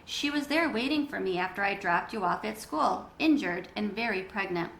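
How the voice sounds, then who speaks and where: A woman voicing a gentle, patient mother in a storybook, explaining in a reassuring manner. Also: mid-range voice, animated, normal speed, gravelly. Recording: roomy echo with background noise.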